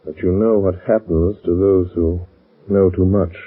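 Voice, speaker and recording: Mysterious crime fighter, American, low voice, authoritative, measured, formal, narrow-band old radio drama recording. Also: male voice, fairly even intonation, slightly rough. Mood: commanding, instructive. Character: calm, commanding mysterious male crime fighter. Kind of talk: 1930s-40s American radio drama acting.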